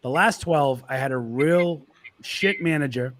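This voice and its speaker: A man's voice: a little high, unhurried, fairly bright tone. A man from Boston with a Boston accent, a guest telling stories in casual chat, stammering and repeating words as he goes.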